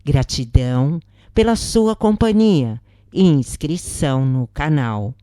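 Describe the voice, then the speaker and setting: A woman with a Brazilian accent reading a scripted story as a narrator, in a measured, deliberate way. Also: deep voice, lively intonation, dark tone, dry recording, studio quality. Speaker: narrator of spiritist stories.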